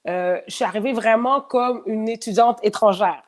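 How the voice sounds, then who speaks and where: A woman's voice: a little high, moderate pace. A woman in her thirties with a Quebec French accent and a slight France-French tone, talking candidly as a guest in a casual interview, with many fillers and restarts. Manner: candid, lots of fillers and repetitions, frequent laughs.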